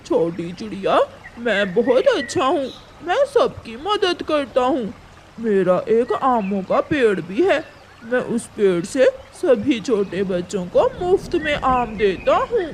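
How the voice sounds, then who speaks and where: Woman putting on a slow-talking sly cartoon crow. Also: a little high, sing-song, a little mellow, slight background noise. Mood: wheedling. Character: sly, scheming crow, boastful and greedy. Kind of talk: Hindi cartoon villain dialogue.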